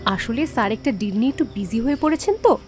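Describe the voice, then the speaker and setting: A woman's voice: on the high side, animated, fast, a little mellow, soft background music, natural voice. A woman playing a polite, businesslike young company receptionist in a cartoon drama. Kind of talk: acted cartoon drama dialogue.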